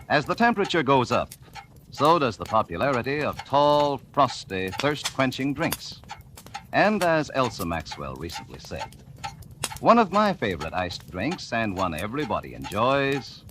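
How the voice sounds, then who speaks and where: A male radio announcer reading a sponsor advertisement in a smooth, polished 1940s style, on a noisy old broadcast recording. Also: mid-range voice. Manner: smooth polished salesman delivery.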